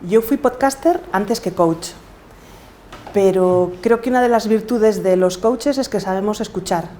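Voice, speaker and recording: A woman's voice: mid-range voice, unhurried, warm tone, a little hiss or hum. A middle-aged woman with a Galician accent, talking reflectively as a guest in an interview. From Galicia, Spain. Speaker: podcaster and coach, interview guest.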